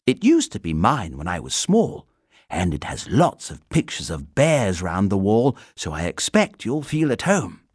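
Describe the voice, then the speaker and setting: A young girl as a storyteller acts her chatters in a raised, lively voice with big swings in pitch. She is friendly, eager and reassuring, in a children's storybook manner. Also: unhurried, breathy edge, no echo, clean studio sound, heavily acted. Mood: chatty.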